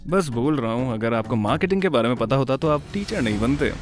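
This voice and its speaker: A man's voice: mid-range voice, expressive, fast, lightly acted. Man acting teenage boy, mid-range voice, cool, laid-back, self-assured, cartoon dialogue, faint music behind. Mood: cocky. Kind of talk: animated dialogue in Hindi.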